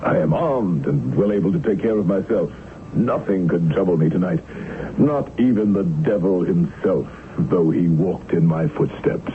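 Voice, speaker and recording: An actor playing a gallant, ardent retired sea captain in an old radio drama, with courtly, formal phrasing. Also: male voice, low-pitched, animated, moderate pace. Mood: jaunty, confident. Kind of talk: period radio drama acting. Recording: very noisy, tinny old radio recording.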